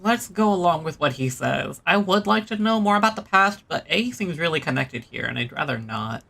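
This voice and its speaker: A man's voice: a little high, lively intonation, normal speed, creaky, bright, forceful tone. A man reading the player's lines out during a game stream, with plain, questioning reactions.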